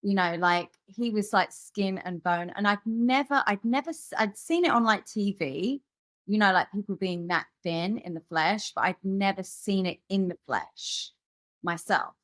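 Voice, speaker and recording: A woman's voice: mid-range voice, measured pace, fairly bright tone, no echo, studio quality. Chatty woman telling her story. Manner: rambling, many fillers and restarts. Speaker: guest musician. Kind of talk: candid personal storytelling.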